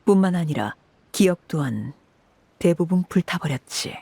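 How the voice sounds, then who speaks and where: A woman voicing a stern, cold woman who runs an orphan house as its "Father", speaking in a cool, measured, commanding way. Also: lowish voice, lively intonation, creaky, warm tone, husky, no room sound, exaggerated voice. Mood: explaining. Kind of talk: game voice acting.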